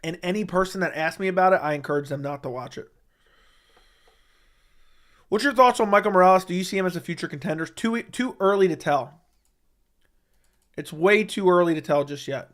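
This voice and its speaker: A man's voice: high voice, brisk, long pauses, a bit bright. A man hosting a casual mixed martial arts livestream, answering viewer chat in a blunt, opinionated way and repeating his points for emphasis. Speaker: MMA commentary livestream host.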